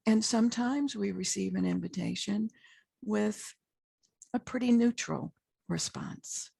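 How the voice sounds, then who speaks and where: Slow, gravelly woman guiding meditation. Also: animated, mellow, dry recording. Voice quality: husky, gravelly low voice. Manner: slow, measured, with long pauses between short phrases. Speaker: guided meditation teacher. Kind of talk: guided meditation, unscripted spiritual talk.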